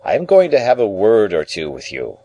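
Casting: male narrator as middle-aged male lawyer-guardian, audiobook. Low voice, emphatic, domineering, pedantic, interrogating. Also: huge pitch swings, brisk, mellow, dull audio, heavily acted. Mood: stern, probing. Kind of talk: audiobook character dialogue.

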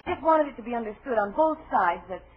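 Actress as a lively, brassy young American showgirl, old radio. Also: on the high side, lightly acted. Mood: chatty, nervous. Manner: halting, breezy, slangy show-business talk. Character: chatty, wary young vaudeville acrobatic dancer, a bit brassy. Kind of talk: 1940s radio acting. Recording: muffled, noisy 1940s radio recording.

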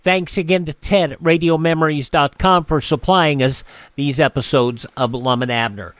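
A man's voice: fairly high pitch, moderate pace, fairly bright tone, dry recording. An American man hosting a classic radio program, delivering a scripted sign-off. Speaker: classic radio show host. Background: music.

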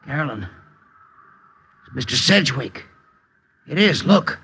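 A man's voice: medium pitch, very animated, takes it slowly, sharp tone, husky, heavily acted. A man playing a timid, greedy, henpecked husband who speaks hesitantly in folksy American English in a 1950s radio drama. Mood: furtive, shaken. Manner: hesitant, stammering, folksy ungrammatical speech. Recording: muffled old radio recording.

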